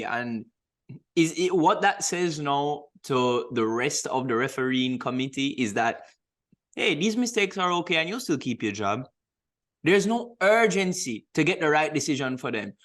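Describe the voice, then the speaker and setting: A man's voice: fairly high pitch, lively intonation, moderate pace, fairly bright tone. A man co-hosting a sports podcast, giving emphatic opinions in a casual discussion and repeating points to stress them. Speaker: podcast co-host.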